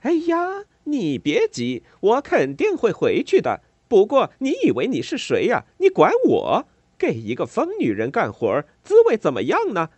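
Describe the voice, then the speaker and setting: A man voicing a coarse, brash neighbor woman who taunts loudly. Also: high-pitched, huge pitch swings, normal speed, husky, dry recording, pitched above the performer's own voice, full character voice. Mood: brash mockery.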